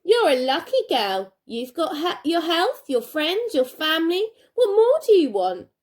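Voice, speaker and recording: Woman as a high, exasperated storybook mum. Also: sing-song, unhurried, full character voice. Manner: emphatic, exasperated. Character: dismissive, brisk mother scolding her daughter.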